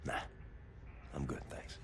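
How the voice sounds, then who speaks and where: An actor playing a world-weary, stoic American soldier in a video game, murmuring tersely in a gravelly half-whisper. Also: male voice, takes it slowly, a little hiss or hum, lightly acted. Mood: deadpan. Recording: loud music and machinery behind.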